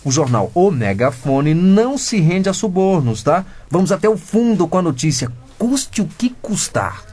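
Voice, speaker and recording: A man's voice: sits high, big pitch swings, moderate pace, a little mellow, slightly rough, full character voice. A man playing a cheeky, rebellious 15-year-old son in a comedic radio drama, talking back in mock-pompous teenage slang. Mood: self-important.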